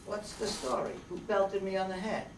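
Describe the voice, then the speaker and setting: A noisy, distant recording in a roomy space carries a hard-boiled radio private eye played by a man. He is brash and sardonic, full of slangy wisecracks, with a slightly high voice. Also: flat delivery, normal speed, lightly acted. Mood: brash, irritated. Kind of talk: old-time radio detective narration and dialogue.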